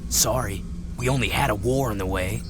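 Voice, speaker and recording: An actor playing a tough, cool young hero in a video game, terse and confident. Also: male voice, on the low side, normal speed, music in the background, natural voice. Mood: dry. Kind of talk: video game cutscene acting.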